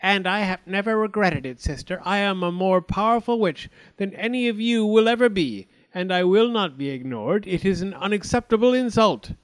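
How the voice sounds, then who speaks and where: A man's voice: high voice, animated, normal speed, hardly any pauses, smooth, pitched above the performer's own voice. A man voicing a wicked old female witch who speaks coldly and haughtily in a storybook reading. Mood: proud. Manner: cold, haughty, menacing.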